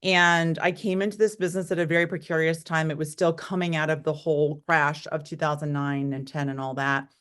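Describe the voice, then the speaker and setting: A woman in her late forties with an American accent and a low-pitched voice. She speaks as an interview guest, telling personal stories in a candid, talkative, conversational way. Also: steady tone, brisk, hardly any pauses, fairly bright tone, clear, resonant tone. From United States, born in Korea. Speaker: interview guest, real estate broker.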